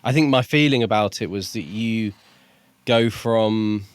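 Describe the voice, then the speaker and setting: Low-voiced man reflecting on a book. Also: unhurried, smooth, no room sound. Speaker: book podcast co-host. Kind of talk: casual book discussion.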